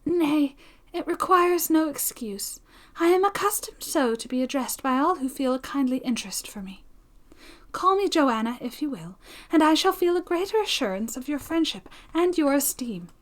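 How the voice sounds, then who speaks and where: A woman reading a storybook voices an impassioned, gentle young woman with breathy, anxious, formal period speech. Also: high voice, animated, moderate pace, voice pushed up. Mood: gentle, earnest.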